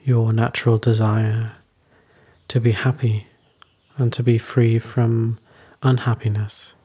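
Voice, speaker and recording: A man leading a guided meditation as a teacher, soothing and unhurried, with long pauses between short phrases. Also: mid-range voice, little pitch movement, dark tone, slightly rough.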